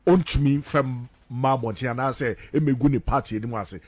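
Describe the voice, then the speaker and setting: A man's voice: mid-range voice, moderate pace. A Ghanaian man hosting a radio talk show, speaking conversationally and switching between two languages.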